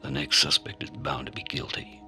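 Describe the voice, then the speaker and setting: An actor playing a hardboiled, cynical, brooding police detective in a noir radio play. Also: male voice, rumbling low, monotone, normal speed, vocal fry, pushed and bright, soft background music, exaggerated voice. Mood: grim, weary.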